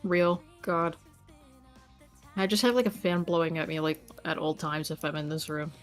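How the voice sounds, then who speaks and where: A woman's voice: low-pitched, fairly even intonation, normal speed, soft background music. A woman chatting casually as a guest in a stream's voice chat.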